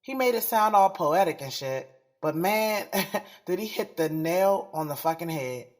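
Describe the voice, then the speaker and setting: Woman acting a low-voiced, guarded, confiding young professional. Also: lively intonation, measured pace, a little mellow. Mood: moved, stunned. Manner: confiding, slangy. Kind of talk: first-person audio drama narration with dialogue.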